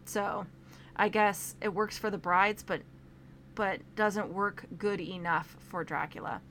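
A woman's voice: mid-range voice, steady tone, takes it slowly, fairly bright tone, a little hiss or hum. A young woman casually reviewing a film, going topic by topic in a chatty way with frequent fillers.

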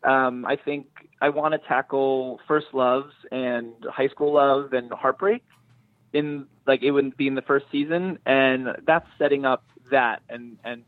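A man's voice: medium pitch, steady tone, unhurried, dry recording, narrow, tinny audio. A man being interviewed as a screenwriter, speaking thoughtfully and often rephrasing as he searches for words. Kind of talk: thoughtful interview talk about own writing.

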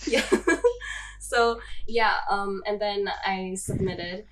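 A woman's voice: medium pitch, fairly even intonation, drawn-out words, a bit bright, a little hiss or hum, rough recording. A young woman from Hong Kong hosting a podcast, chatting casually with lots of "like" fillers and frequent laughs. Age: young adult. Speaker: podcast host. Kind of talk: casual chat.

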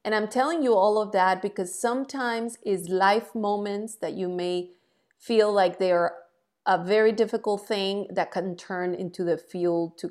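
A woman in her forties from Colombia, speaking English, talks as an interview guest. She tells her story frankly and with energy, and pokes a little fun at herself along the way. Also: mid-range voice. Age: 40s. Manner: lively, frank, self-deprecating. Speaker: guest, entrepreneur.

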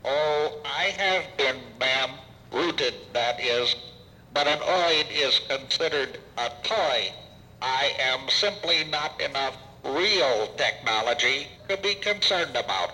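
A man playing a polite, humble robot waiter in a comic stage show, formal and deadpan. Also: mid-range voice, slow pace, heavily acted. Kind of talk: comic audio theatre acting before an audience. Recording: reverberant live theatre hall.

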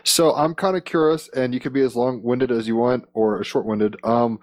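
A man's voice: mid-range voice, steady tone, moderate pace, a little mellow, no room sound, studio quality. A man hosting a podcast, interviewing in an informal, rambling, conversational way.

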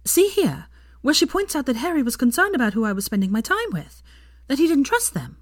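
A woman's voice: sits high, big pitch swings, fairly quick, no echo, studio quality, voice pushed up. A woman voicing an indignant, outspoken young witch in a story, emphatic and pointed. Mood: exasperated.

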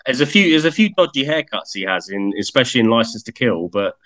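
A man's voice: medium pitch, big pitch swings, fast, fairly bright tone. A man co-hosting a podcast, chatting in an easygoing way with stumbling restarts. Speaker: podcast co-host. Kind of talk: casual chat.

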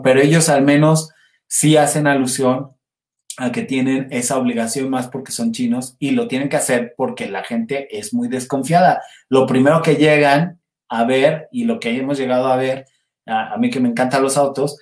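A man's voice: mid-range voice, a little mellow. A man with a Mexican accent hosting a casual livestream, chatting with viewers in a rambling, informal way. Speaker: livestream host. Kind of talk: casual live chat with viewers. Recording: roomy, echoey sound.

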